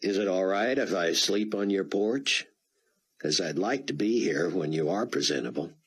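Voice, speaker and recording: A man voicing a gentle, forgiving young man in an audiobook, speaking calmly with light humor. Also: low-pitched, moderate pace, slightly rough, full character voice. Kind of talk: audiobook character voice.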